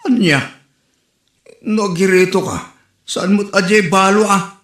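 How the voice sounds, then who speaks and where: A man's voice: sits high, takes it slowly, a bit bright, roomy sound. A man with an Ilocano accent acting an exasperated single son in a comedy skit.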